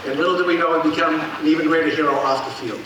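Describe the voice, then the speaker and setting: A middle-aged man with a head cold, hoarse, emceeing a ceremony with friendly asides, heard from far off in an echoey, noisy hall. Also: a little high, fairly even intonation, fast. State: head cold, losing his voice.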